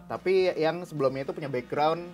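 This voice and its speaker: A man's voice: a little high, a little fast, a little mellow, faint music behind. A man hosting a casual interview, upbeat and slangy, laughing often and calling his guest "bro". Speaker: host.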